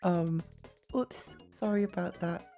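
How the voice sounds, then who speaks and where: A woman streaming a video game, chatting casually with many fillers and little laughs, her voice a bit croaky. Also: fairly low pitch, steady tone, takes it slowly, warm tone, soft background music. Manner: rambling, giggly, many fillers and restarts. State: slightly croaky voice. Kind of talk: casual live-stream chatter while gaming.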